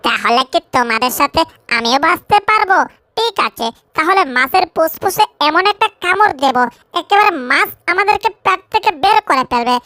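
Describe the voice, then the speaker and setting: A woman's voice: very high-pitched, animated, normal speed, pushed and bright, dry recording, heavily acted. A woman voicing a plucky, cheeky little animal in a cartoon dub. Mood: eager.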